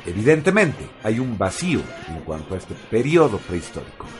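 A male radio host reading a scripted segment in an emphatic, expressive way, over background music. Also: on the low side, fairly quick.

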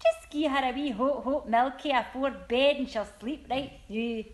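A woman's voice: high-pitched, moderate pace, natural voice. A woman playing a pushy Scottish friend who rings up, coaxing her pal into a night out.

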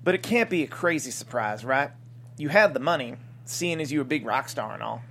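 A man's voice: mid-range voice, lively intonation, fairly quick, fairly bright tone. A man playing a dry, sarcastic small-town desert lawman in a comedy script table read. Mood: dry scorn.

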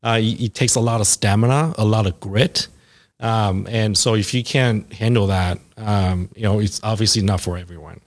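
A man in his forties, talking through his career in a casual interview, halting with fillers and restarts. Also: fairly low pitch. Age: 40s.

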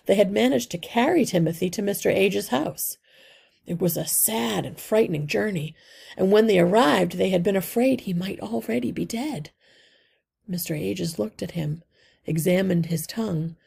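A woman reads a storybook aloud in a lowish, slightly creaky voice. She shapes each phrase carefully and expressively, as a teacher would for older children. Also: warm tone. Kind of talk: scripted narration, storybook read-aloud.

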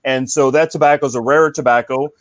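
A man from Cincinnati, Ohio, a cigar company guest in casual podcast chat, telling stories in a relaxed way and often saying "man". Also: mid-range voice, fast, dry recording.

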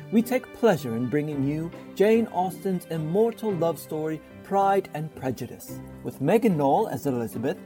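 A man announcing a radio drama in a grand, dramatic 1940s style. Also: a little high. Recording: prominent music behind the voice.

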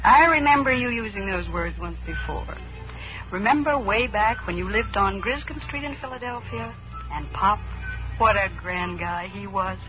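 An actress playing a heroine's sensible, admonishing inner conscience in a 1940s radio drama. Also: female voice, mid-range voice, normal speed, lightly acted. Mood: nostalgic. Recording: tinny 1940s radio recording, music behind.

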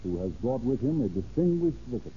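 A man's voice: medium pitch, very animated, fast talker. A man working as a 1930s radio announcer, delivering sponsor messages and introductions in a formal, declamatory way. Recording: muffled, noisy 1930s radio recording.

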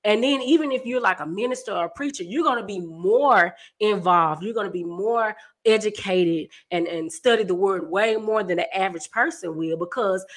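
A woman in her thirties hosting a casual discussion, chatty and animated. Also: medium pitch, normal speed, few pauses. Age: 30s. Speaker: podcast host.

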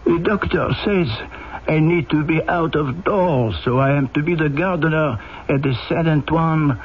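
An actor playing a humble injured old carter, halting and plaintive, in old-time radio style. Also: male voice, a little high, husky. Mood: amazed, grateful. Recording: muffled old radio drama, faint noise.

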